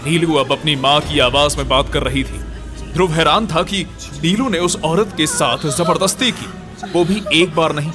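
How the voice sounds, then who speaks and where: Guy narrating a horror audio story, dramatic, slightly high voice, music bed under it. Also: moderate pace.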